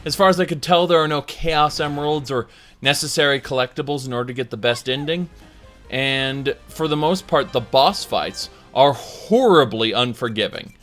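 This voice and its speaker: A man delivering an informal video game review in a conversational, emphatic way, pausing for dramatic effect. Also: medium pitch, fairly bright tone. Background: music under the voice.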